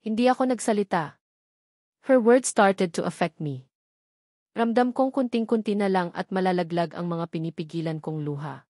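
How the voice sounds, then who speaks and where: A woman's voice: lowish voice, moderate pace, a little mellow, no echo, clean studio sound. A woman with a Filipino accent reading a first-person romance novel as scripted audiobook narration.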